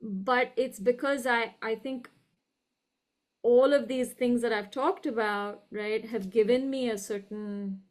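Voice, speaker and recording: A woman with a fairly high voice and an educated, upper-class Indian English accent. She talks as a reflective interview guest, articulate and deliberate. She lands her points with emphasis and often seeks agreement as she goes. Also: steady tone. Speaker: guest, university professor.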